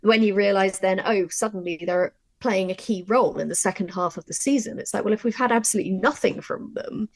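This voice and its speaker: A woman presenting an informal writing workshop, talking through her method in an animated way and thinking aloud. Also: medium pitch, brisk, hardly any pauses, no echo. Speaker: audio drama writer and workshop presenter.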